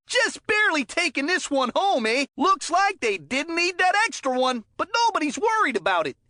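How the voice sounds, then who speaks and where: An actor playing a cheerful, folksy and excitable hockey commentator in a kids' video game, chatty and eager. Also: high-pitched, dry recording, studio quality, exaggerated voice. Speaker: sports commentator. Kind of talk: animated sports game commentary.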